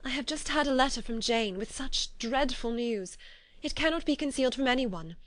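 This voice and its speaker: A woman's voice: a little high, brisk, no room sound, pitched above the performer's own voice, close to an everyday voice. A woman reading the part of a distraught young woman, her voice anguished and pleading as if on the edge of tears. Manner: anguished, pleading, breaking with emotion. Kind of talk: audiobook character voice.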